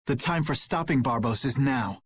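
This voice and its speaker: A man voicing an earnest, dutiful young knight in an anime video game, speaking formally and politely with a slightly husky voice. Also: mid-range voice, moderate pace, fairly bright tone, dry recording. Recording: background music behind the voice.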